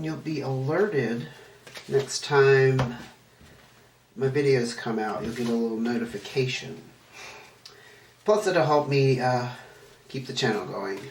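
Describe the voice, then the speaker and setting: A man with a stuffy, nasal voice from a cold, chatting casually through a tarot card reading and wandering as he talks. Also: mid-range voice. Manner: meandering, chatty, self-correcting. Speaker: tarot reader. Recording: echoey room, distant mic, faint noise.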